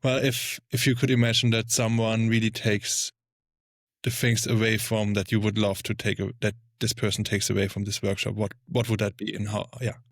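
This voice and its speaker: A man's voice: lowish voice, flat delivery, moderate pace, no room sound, studio quality. A man hosting a podcast who hesitantly feels his way toward an interview question. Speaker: podcast host.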